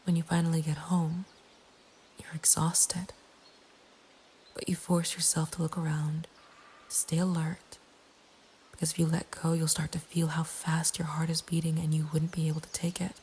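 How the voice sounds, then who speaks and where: A woman narrating a scary story in a breathy, hushed ASMR whisper, slow and deliberate. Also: low voice, level tone, a little hiss or hum. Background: nature ambience.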